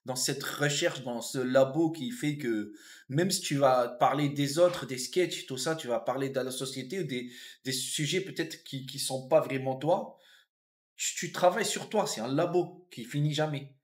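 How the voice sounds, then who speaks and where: A man in his fifties from Tunisia with a Tunisian accent, a comedian and actor telling his life story in a relaxed interview, thoughtful, with frequent restarts and fillers. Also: medium pitch, normal speed. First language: Arabic. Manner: reflective, repeats and restarts words, many fillers.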